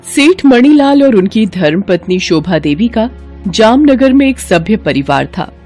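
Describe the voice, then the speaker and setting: A woman's voice: medium pitch, big pitch swings, a little slow, darkish tone. Woman narrating a story over music. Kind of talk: scripted moral bedtime story narration.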